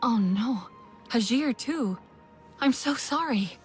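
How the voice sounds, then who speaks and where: An actress playing an earnest, caring young female alien fighter in a video game, with a gentle and sincere manner. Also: a little high, animated, takes it slowly, soft background music. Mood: concerned. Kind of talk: video game character acting.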